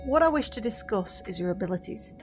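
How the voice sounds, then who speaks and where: A woman's voice: mid-range voice, lively intonation, natural voice. Woman acting formal, sorrowful ancient witch, music behind. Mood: earnest. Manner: formal, measured diction. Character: centuries-old witch, gracious, formal, quietly sorrowful. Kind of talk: audio drama acting.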